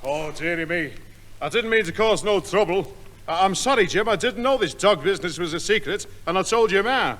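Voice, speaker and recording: Brief: a fussing, apologetic old chap, highish voice that bobs up and down, radio comedy. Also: male voice, lively intonation, fairly quick, fairly bright tone. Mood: flustered. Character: kindly, flustered older man, a family friend. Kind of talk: 1960s radio comedy acting.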